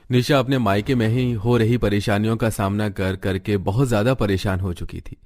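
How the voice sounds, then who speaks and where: Male narrator for animated family stories, lower-pitched, scripted storytelling delivery. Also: normal speed, no echo, studio quality.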